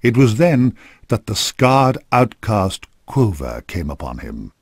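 Male narrator for fantasy game cutscenes; lowish register, grave formal storytelling, dry studio sound. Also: sing-song, slow pace, breathy edge, no echo. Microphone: close studio microphone.

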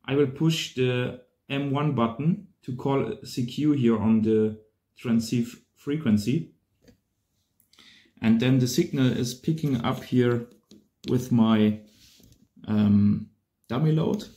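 Male vlogger, thirties, German accent, mellow tone, unhurried casual explainer delivery. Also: medium pitch. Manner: simple non-native English phrasing. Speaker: amateur radio vlogger.

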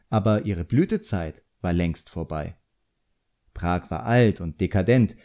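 A man's voice: lowish voice, warm tone. Man voicing a composed, frank woman drawing teacher. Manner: instructive, measured, pointed.

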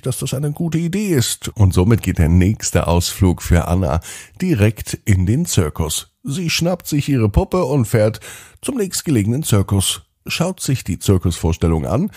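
A man telling a scripted bedtime story to children as podcast host, in an expressive storytelling manner. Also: mid-range voice, husky, no room sound, studio quality. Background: music.

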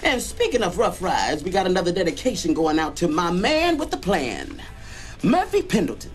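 A smooth-talking American radio DJ from a video game. His high voice swings freely through showy, laid-back patter with light music behind it. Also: huge pitch swings, normal speed. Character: smooth-talking, jive male rock radio DJ.

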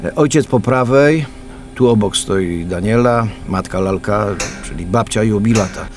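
A man's voice: lowish voice, measured pace, natural voice. An actor playing a polite, earnest policeman loyal to his men, speaking formally and hesitantly in a radio drama. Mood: matter-of-fact.

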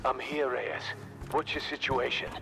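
A middle-aged man playing a weathered, protective, pragmatic mentor in a video game, with a gravelly voice. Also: medium pitch, fairly even intonation, measured pace. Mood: businesslike. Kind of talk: video game cutscene acting. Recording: loud music and game effects behind.

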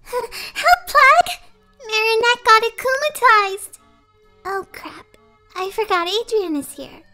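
Tiny cartoon fairy, extremely high and bright, anxious, female. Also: lively intonation, slow, soft background music, heavily acted. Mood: panicked. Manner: fretful, squeaky. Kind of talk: fan comic dub acting.

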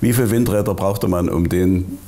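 A man's voice: low voice, moderate pace, warm tone. A man, a company founder, making brief remarks full of figures as a guest on a panel. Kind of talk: discussion panel.

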